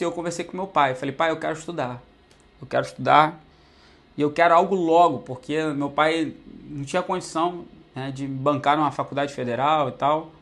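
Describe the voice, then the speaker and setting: A man's voice: on the high side, a bit bright. Young Brazilian man telling his story. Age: young adult. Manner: conversational, many fillers like "né" and "pô", addresses viewers directly. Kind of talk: casual personal storytelling to camera.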